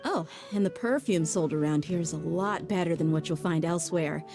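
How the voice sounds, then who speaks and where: A woman's voice: lowish voice, brisk, music in the background, lightly acted. A woman voicing a wry, worldly adult in a game, making dry remarks. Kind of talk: game cutscene voice acting.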